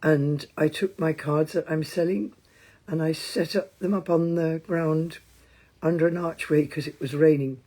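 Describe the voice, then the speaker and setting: A woman leading a casual mindfulness livestream from home, talking in a chatty, meandering, gently informal way, with a hoarse voice, recorded on a phone. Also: deep voice, fairly even intonation, unhurried. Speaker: mindfulness teacher. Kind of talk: casual livestream with guided breathing. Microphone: phone, handheld at times.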